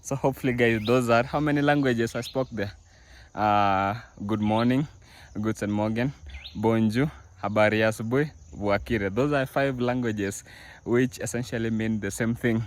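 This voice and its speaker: A man's voice: medium pitch, moderate pace. A young man vlogging from his family farm outdoors, talking casually to viewers with many fillers and restarts. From East Africa. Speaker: village vlogger. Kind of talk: casual walk-through vlog.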